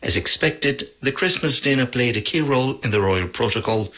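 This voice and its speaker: A man presenting a scripted radio feature in a formal, measured way, heard on a shortwave broadcast. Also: medium pitch, expressive, sharp tone, low-quality recording. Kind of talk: scripted radio feature narration with translated voice-over.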